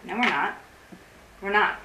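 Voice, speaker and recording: An actress in a staged reading playing an anxious, wry, guarded support group organiser, rambling and awkwardly correcting herself. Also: female voice, medium pitch, a little slow, lightly acted. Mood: wry, edgy. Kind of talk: staged play reading, naturalistic dialogue. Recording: roomy, distant mic with faint noise.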